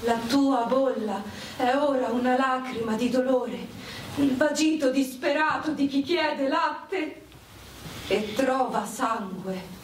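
Woman doing a slow dramatic war poem, echoey, bit of noise behind her. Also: high voice. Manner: slow, theatrical delivery. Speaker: performer reciting. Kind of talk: dramatic poetic monologue about war. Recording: echoey, distant pickup with background noise.

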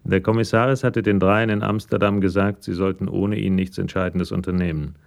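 A man narrating a scripted crime radio play in a measured, matter-of-fact storytelling manner. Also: sits low, steady tone, gravelly, music in the background.

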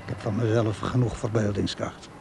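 A man's voice: on the low side, little pitch movement, moderate pace, gravelly, noisy background. An actor playing a stubborn, easily offended old grandfather and war veteran in a radio play, muttering and reminiscing. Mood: embarrassed, evasive. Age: older.

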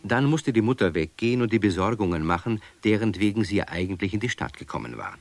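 A man's voice: on the low side, animated, fast talker, no room sound. A man narrating a children's radio play as a scripted storyteller.

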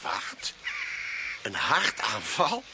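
Brusque, animated police inspector. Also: male voice, lowish voice, drawn-out words, full character voice. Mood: impatient, sarcastic. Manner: brusque, sceptical, questioning. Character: impatient, sarcastic male police inspector in charge. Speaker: actor in a radio play. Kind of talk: Dutch radio drama acting. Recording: clearly noisy radio play soundtrack.

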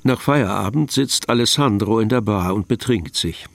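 A man reading scripted radio drama narration in a measured, matter-of-fact way. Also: lowish voice, vocal fry.

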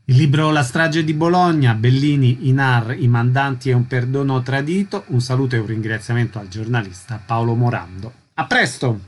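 A man with a mid-pitched voice works as a radio interviewer. He speaks in the style of a presenter wrapping up a programme segment. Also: moderate pace, hardly any pauses.

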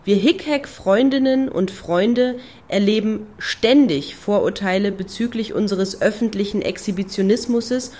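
An actress playing a high-flown club activist in a radio play, grandiose and ironically lofty. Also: female voice, a little mellow, natural voice. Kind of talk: German radio play acting.

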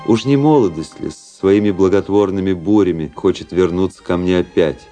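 Guy acting deep brooding officer type, proud and jaded, theatrical radio play delivery, music behind him. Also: moderate pace, warm tone, natural voice. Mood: wistful. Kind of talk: Russian radio drama acting and narration.

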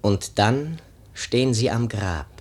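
An actor playing a solemn, poetic prologue speaker in a radio drama, declaiming in a measured, theatrical way. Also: male voice, mid-range voice, lively intonation, slightly rough. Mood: hushed, storytelling. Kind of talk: recited verse prologue in German radio drama.

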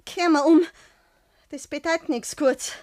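A superstitious country girl with a high-pitched voice. She speaks fearfully and piously, in dialect. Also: unhurried, a little mellow. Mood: frightened. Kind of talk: 1960s radio play acting.

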